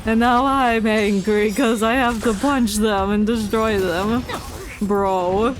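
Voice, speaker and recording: Slow-paced, congested woman streaming a game. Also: a little high, steady tone. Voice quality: congested, stuffy nose. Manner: playful, chatty, mock-indignant. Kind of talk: casual live game commentary. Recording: faint game music behind. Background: game audio and music.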